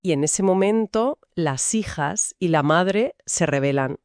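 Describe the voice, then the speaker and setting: A woman's voice: fairly low pitch, animated, measured pace, a little mellow, dry recording, studio quality. A woman co-hosting a book discussion, giving emphatic, conversational literary commentary.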